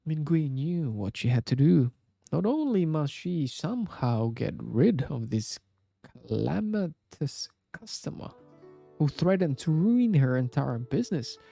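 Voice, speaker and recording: Slow, gravelly man reading a story. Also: a little high, mellow, no echo. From Indonesia. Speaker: streamer reading a game story aloud. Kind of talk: scripted narration, reading aloud.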